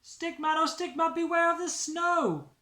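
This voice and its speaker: A high, singsong storybook caller played by a man, chanting warnings out loud. The voice rings in a roomy, echoing space. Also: unhurried, heavily acted. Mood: urgent warning.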